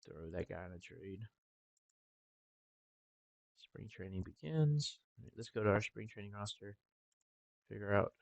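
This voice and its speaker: A young man talking casually over a game with a congested, stuffy nose and a relaxed, rambling manner. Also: on the low side, measured pace, vocal fry, long pauses, no echo. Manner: relaxed, rambling with filler ums. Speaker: gaming channel host. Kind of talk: casual gameplay commentary.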